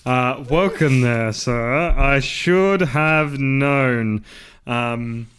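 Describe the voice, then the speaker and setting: A man's voice: drawn-out words, fairly bright tone. A man, co-hosting a casual livestreamed podcast and telling stories with plenty of fillers. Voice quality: deep voice. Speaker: podcast co-host.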